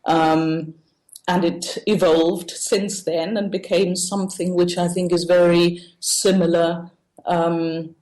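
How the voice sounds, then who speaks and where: A woman with a very low-pitched voice speaks as an expert in an interview. She explains informally and feels her way through her sentences. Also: little pitch movement, slow, a little mellow, low-quality recording. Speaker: institute deputy director, interviewed expert.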